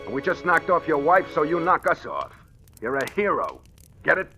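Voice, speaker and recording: A man's voice: on the high side, wildly swooping pitch, normal speed, sharp tone, heavily acted. An actor playing a big, menacing hired killer with mocking, slangy tough-guy talk in a 1940s radio thriller. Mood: mocking menace. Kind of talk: 1940s radio gangster acting. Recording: old-time radio recording, faint music.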